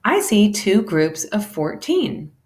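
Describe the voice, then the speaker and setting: A woman giving an animated, deliberate read-aloud of a children's educational storybook, shifting between character lines. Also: mid-range voice. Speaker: teacher reading a children's math book aloud.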